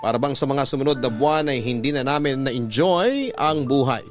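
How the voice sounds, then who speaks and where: A man's voice: medium pitch, expressive, moderate pace, lightly acted. A man narrating as a bereaved husband in a Filipino radio drama, reading a letter in a solemn, heartfelt way. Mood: sorrowful. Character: bereaved husband recounting his sorrowful marriage story.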